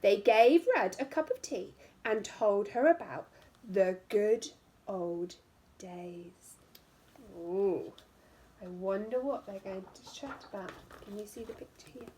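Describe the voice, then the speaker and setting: A woman teacher reading a picture book aloud to young children in an animated way, drawing out words and dropping into hushed asides. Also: medium pitch, slight background noise. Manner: animated, drawn-out storytelling with hushed asides and questions to listeners. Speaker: primary school teacher.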